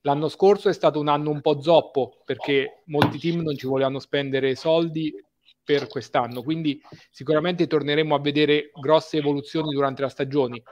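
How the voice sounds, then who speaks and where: A man in his thirties giving a calm, methodical technical explanation over a headset mic on a video call. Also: on the high side, moderate pace, lo-fi sound, slight background noise. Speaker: technical commentator.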